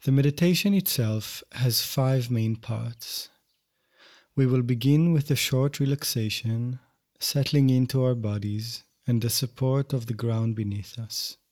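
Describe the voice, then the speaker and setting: A man teaching yoga nidra, guiding a meditation in gentle, measured, unhurried phrases. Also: mid-range voice. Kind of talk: guided meditation instruction.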